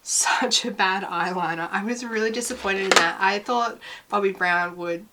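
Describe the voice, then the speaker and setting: A young woman chats through a beauty product review with a slightly rough voice from a lingering cough. She talks casually and gives frank, blunt opinions on each product. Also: mid-range voice, moderate pace, pushed and bright, slight background noise, poor audio. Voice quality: voice sounds slightly weird. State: recovering from illness, slight cough. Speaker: beauty vlogger.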